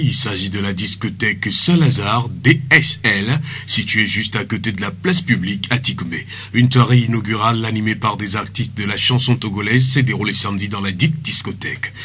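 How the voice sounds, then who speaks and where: A man reading a radio news bulletin in a measured, formal newscaster manner. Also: on the low side, a bit bright, breathy edge. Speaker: radio newsreader.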